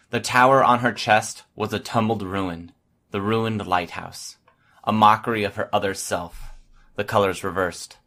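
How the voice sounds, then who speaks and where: A man's voice: lowish voice, fairly bright tone. A man reading scripted first-person fiction as an audiobook narrator.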